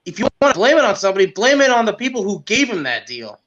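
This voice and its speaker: High-voiced man giving emphatic football opinions. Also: very animated, fast, a bit bright, highs cut off. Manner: emphatic, repeats words for stress. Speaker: sports podcast co-host. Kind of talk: opinionated casual football commentary.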